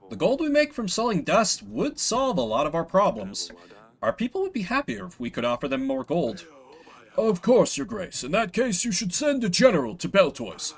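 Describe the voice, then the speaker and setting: A man's voice: high-pitched, husky, full character voice. A man voicing a dutiful, cautious royal advisor in a video game, formal and deferential in manner.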